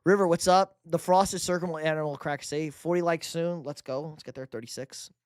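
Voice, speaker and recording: A man's voice: on the high side, fairly even intonation, normal speed, clear, resonant tone, no room sound, clean studio sound. A young man hosting a live sports stream, reading chat questions and bantering loosely with casual profanity. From New York. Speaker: livestream host reading chat.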